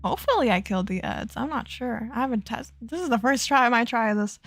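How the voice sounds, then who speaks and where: A woman's voice: fairly high pitch, lively intonation, fast, a bit bright, soft background music. A young woman streamer from Florida chatting casually with her viewers, bubbly and giggly, self-deprecating and easily distracted.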